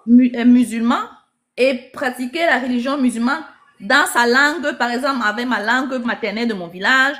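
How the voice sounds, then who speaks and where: A woman's voice: fairly high pitch, moderate pace, fairly bright tone. A woman asking pointed questions in a casual conversation, listing options as she goes. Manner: inquisitive, lists options while asking.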